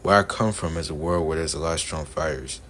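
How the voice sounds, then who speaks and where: Man acting blunt, deep-voiced anime fighter. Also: fairly quick, fairly bright tone. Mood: serious. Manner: casual scolding, repeated takes. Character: blunt, grudgingly helpful male anime fighter.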